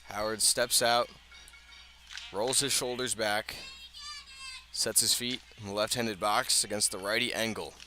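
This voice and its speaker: A man's voice: lowish voice, fairly even intonation, unhurried, pauses often, pushed and bright, faint music behind. A man with an American accent calling live baseball play-by-play on the radio. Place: outdoors at a ballpark. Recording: outdoor ballpark ambience, clear background noise.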